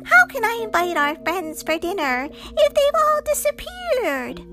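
A woman doing a squeaky little witch girl. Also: big pitch swings, unhurried, music in the background, full character voice. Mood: eager.

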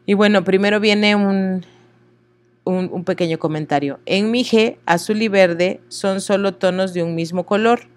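A woman reads written passages aloud at a middling pitch for her sex. She is earnest and a little halting, slowing down over unfamiliar words and breaking off for apologetic remarks before she carries on. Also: dry recording. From Oaxaca, Mexico. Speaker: host reading texts aloud. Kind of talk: reading aloud with asides.

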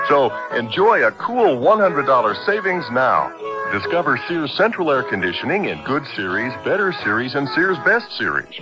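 A man reading a scripted radio advertisement as a commercial spokesman, on a 1970s broadcast. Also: medium pitch. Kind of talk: scripted first-person radio ad. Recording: dull 1970s radio, music behind. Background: music.

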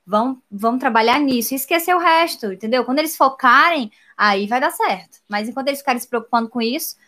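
Hesitant woman, fairly high voice. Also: expressive, fast, pushed and bright. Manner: hesitant, searches for words, ends lines with "entendeu?". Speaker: host's wife, co-presenter. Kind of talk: casual political chat.

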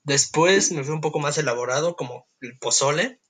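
Casual young Mexican man, fairly high. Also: moderate pace. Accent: Mexican Spanish. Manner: relaxed, laughs easily, leads the segments. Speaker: podcast host. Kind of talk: casual chat.

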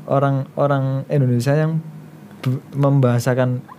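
A man's voice: medium pitch, fairly even intonation, moderate pace, mellow, lo-fi sound, a little hiss or hum. Easygoing, hesitant man chatting. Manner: easygoing, hesitant, laughs often. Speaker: soldier, interviewee. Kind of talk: casual chat.

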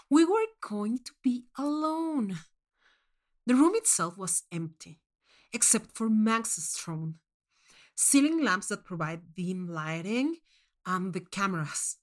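Slow-paced woman reading a short story. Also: a little high, lively intonation. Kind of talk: scripted first-person fiction narration.

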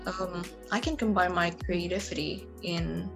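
A woman's voice: on the low side, steady tone, measured pace, vocal fry, music in the background. Hesitant young woman, Indonesian native speaker. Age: young adult. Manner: hesitant, many fillers and restarts. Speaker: interview guest, computer science student. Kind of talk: casual conversational interview.

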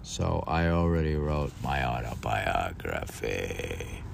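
A man's voice: a bass voice, steady tone, slow pace, vocal fry, a little hiss or hum. An older man reading a book aloud and adding casual, rambling, self-deprecating commentary of his own. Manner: rambling, musing, self-deprecating, repeats passages. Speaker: podcast host and reader.

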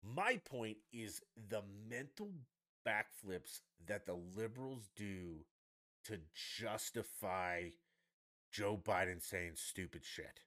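A man's voice: lively intonation, slow, fairly bright tone. A man hosting a solo podcast, ranting sarcastically with lots of swearing and mocking asides. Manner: mimics others in high mocking voices. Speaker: podcast host. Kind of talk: solo opinion rant.

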